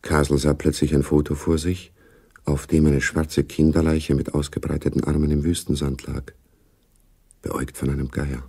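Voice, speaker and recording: A sober storyteller in a radio drama. His voice is extremely low and mellow, with a creaky, husky grain. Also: little pitch movement, normal speed, exaggerated voice. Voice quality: dark, gravelly, husky. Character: sober, observant storyteller of a spy novel. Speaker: narrator. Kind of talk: scripted radio play narration.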